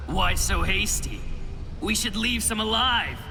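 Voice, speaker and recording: An actor voicing a cruel, gloating soldier in a video game, with a sneering, drawn-out delivery. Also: male voice, high voice, sing-song, sharp tone, long reverb tail, a little hiss or hum, full character voice. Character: cruel, gloating human soldier mocking yokai.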